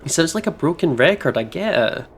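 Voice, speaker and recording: A man doing casual let's play commentary and reading narration aloud, expressive and playful in manner. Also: a little high, a little fast, breathy edge. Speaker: gaming streamer reading aloud.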